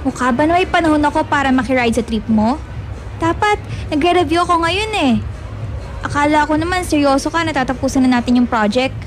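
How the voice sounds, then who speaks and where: A woman acting as a high-voiced young Filipina scholar in a radio drama, earnest and quick to scold. She switches between Tagalog and English, with faint music behind her. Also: natural voice. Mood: annoyed, scolding. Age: young adult. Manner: indignant, mixes Tagalog and English.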